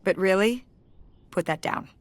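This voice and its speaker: A middle-aged mother, cautious and protective, with a wry, dry sense of humor that slips into scolding when she gets worried. A woman voices her in a story-driven video game, at an ordinary mid-range pitch. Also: measured pace, lightly acted.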